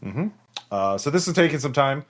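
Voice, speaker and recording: A man narrating as game master of a tabletop roleplaying game, in a halting, improvised way. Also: medium pitch, animated.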